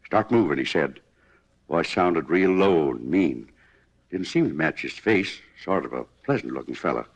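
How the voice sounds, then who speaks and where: An actor playing a talkative, homespun cafe owner from Kansas who was shot, in a 1950s radio drama. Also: male voice, very deep voice, animated, normal speed, slightly rough, exaggerated voice. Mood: folksy. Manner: rambling, homespun, plain-spoken. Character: folksy, talkative wounded cafe owner, former pitcher. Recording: dull vintage 1950s radio broadcast.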